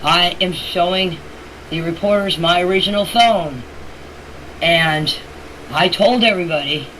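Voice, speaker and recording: A plain-spoken woman recounting events in a casual voice message, in halting and repetitive phrasing. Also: on the low side. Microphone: phone voice message. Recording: noisy voice clip, steady background hiss.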